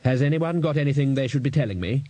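A man's voice: mid-range voice, lively intonation, quick pace, dark tone, no echo, close to an everyday voice. A man voicing a blunt, exasperated, thick-skinned old wizard archchancellor in an audiobook. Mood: irritable, blunt. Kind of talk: audiobook character voice.

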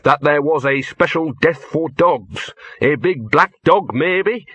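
A scruffy little talking dog from a comic fantasy storybook, acted out by a man. He grumbles in rough, colloquial speech, wry and plaintive, with the pitch lifted a little and a lively swing. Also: unhurried. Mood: hopeful.

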